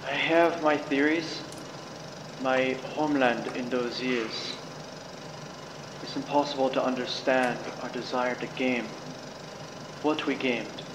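A man's voice: medium pitch, steady tone, slow, pauses often, close to an everyday voice. An amateur actor playing a grave, fanatical old male scientist in a game mod, with solemn, dramatic delivery. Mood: grave, intense. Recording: echoey room, clear background machine noise.

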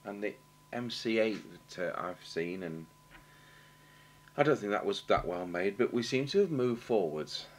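A British man giving a halting, matter-of-fact technical demonstration, thinking aloud as he works at a workshop bench. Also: lowish voice, lively intonation. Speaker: radio repair technician demonstrating. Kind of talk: unscripted technical demonstration commentary. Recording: clear background noise and hum.

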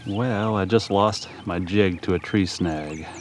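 Low-voiced Minnesotan man, relaxed fishing chat, kayak on a river, faint water noise. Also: slow pace. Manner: relaxed, chatty, talks to viewers. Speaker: fishing vlogger. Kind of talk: casual fishing commentary. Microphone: action camera on the kayak.

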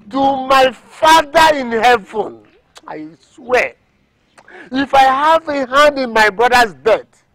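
Casting: defiant Nigerian schemer, high voice with big swings, emphatic and repetitive, film drama. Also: male voice, a bit bright, no room sound, exaggerated voice, poor audio. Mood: indignant, swearing. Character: defiant, scheming man, self-styled progressive, quick to swear oaths. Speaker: actor.

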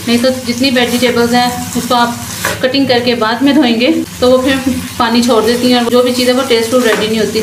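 A woman hosting a home cooking channel, explaining each step in a chatty, instructive way and often adding short blessings. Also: a little high. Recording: echoey kitchen with frying noise. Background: frying and stirring sounds.

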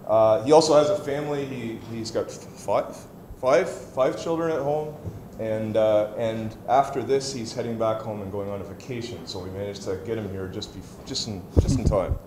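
A middle-aged man hosts a lecture, introducing the guest with a halting delivery full of uhs and restarts. His voice echoes around a large hall, with a faint hiss underneath. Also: fairly low pitch, darkish tone. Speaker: event host introducing a speaker. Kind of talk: formal introduction.

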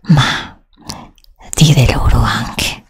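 A woman storyteller whispering a scripted fantasy narration with dramatic, drawn-out phrasing. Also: very deep voice, husky, no room sound, clean studio sound.

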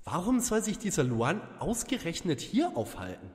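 A man plays a sceptical, sharp-witted young detective in a parody audio drama, with a dry manner. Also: fairly high pitch, huge pitch swings, moderate pace. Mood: focused. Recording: long reverb, music behind.

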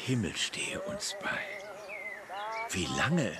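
An actor playing a crusty older English country gentleman in a German radio play, droll and given to lamenting understatement. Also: medium pitch, huge pitch swings, takes it slowly, loud music behind the voice. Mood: droll, grumbling.

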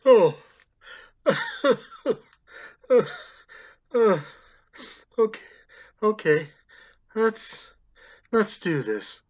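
Male actor as broken homeless man, radio drama, hesitant, husky, lo-fi sound. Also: sits high, big pitch swings, full character voice. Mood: nervous. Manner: hesitant, halting. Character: timid, filthy homeless man, broken and grateful.

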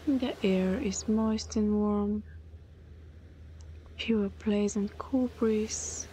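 A woman leading a guided meditation in a soothing, breathy voice that often softens almost to a whisper. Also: medium pitch. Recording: clear background noise, ambient sound.

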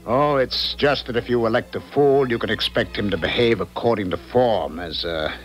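An actor playing a smooth-talking, two-faced husband with genteel, formal phrasing in a 1970s radio drama. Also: male voice, mid-range voice, normal speed, close to an everyday voice. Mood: reassuring. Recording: old radio drama, faint music behind.

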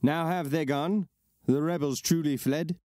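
Man playing a slow, wary imperial commander. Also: on the high side, steady tone, darkish tone, dry recording, clean studio sound, close to an everyday voice. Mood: wary, stern. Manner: measured archaic verse.

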